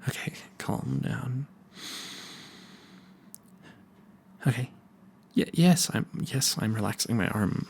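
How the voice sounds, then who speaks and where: A man's voice: medium pitch, lively intonation, creaky, whispered. A man playing a nervous, smitten and clueless vampire hunter in an audio roleplay, stammering and correcting himself as he speaks to the listener. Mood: nervous, settling down. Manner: flustered, stammering, halting and self-correcting.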